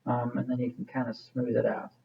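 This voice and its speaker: A man's voice: mid-range voice, monotone, a little fast, vocal fry, husky, dull audio. A man teaching a hands-on craft demonstration in a casual, informal way, thinking aloud as he works. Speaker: art teacher.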